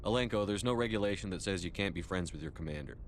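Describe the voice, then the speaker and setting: Actor as brisk, steady space commander. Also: male voice, sits low, a little hiss or hum, close to an everyday voice. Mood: composed, friendly. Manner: direct, composed, inquiring. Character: steady, approachable male military commander in space. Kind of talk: video game dialogue acting.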